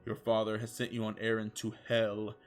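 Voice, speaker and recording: A man's voice: fairly low pitch, completely flat delivery, moderate pace, natural voice. Guy doing a tough stoic cat hero, scornful solemn lines, silly fanfic story, bit of music behind. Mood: stoic contempt. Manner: solemn, grandiose pronouncements. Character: stoic, macho male cat warrior, contemptuous and cool. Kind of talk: comedic fanfiction reading.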